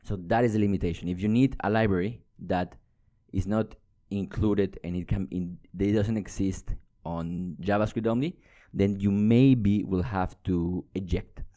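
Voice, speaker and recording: A man's voice: sits low, a little slow, mellow. A young adult man giving an informal, explanatory coding tutorial as an instructor, speaking casually into a close microphone. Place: indoors at a computer.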